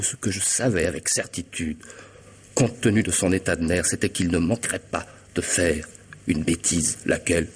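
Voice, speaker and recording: Smug, mocking hitman, low-pitched and husky. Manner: theatrical, ironic, precise formal diction. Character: cunning middle-aged hitman, smug, mocking, methodical blackmailer. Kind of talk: French radio mystery drama acting.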